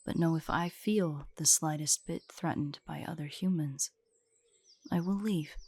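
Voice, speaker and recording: A woman playing an ancient, guarded female drider in an intimate audio roleplay, speaking in a formal, measured, haughty way with a husky, breathy voice. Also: deep voice, darkish tone, full character voice. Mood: stern, conceding.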